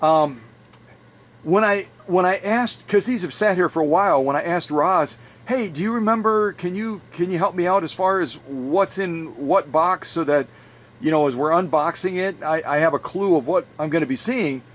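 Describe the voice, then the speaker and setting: A man's voice: on the high side, moderate pace. A middle-aged man hosting a casual vlog from his home workshop, chatting with viewers in a rambling way, often repeating and restarting phrases. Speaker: vintage sewing machine restorer and channel host.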